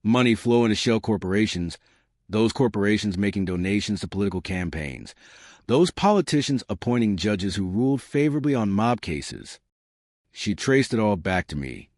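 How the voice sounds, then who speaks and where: Low-voiced man narrating a mystery. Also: animated, normal speed, a little mellow, no room sound, studio quality. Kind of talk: scripted first-person mystery narration.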